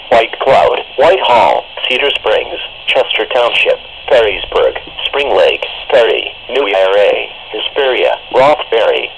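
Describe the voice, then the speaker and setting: A man's automated announcer voice comes through a clearly noisy weather radio. He has a middling pitch and reads scripted emergency bulletins in a formal, measured, synthetic manner. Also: a bit bright.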